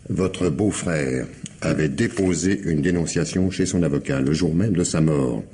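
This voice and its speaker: A shrewd detective with a deep voice, wry and pointed in a colloquial way. It is radio crime drama acting on an old recording with some background noise. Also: male voice, unhurried, dark tone. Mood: dry, reassuring. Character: shrewd, sardonic male police investigator.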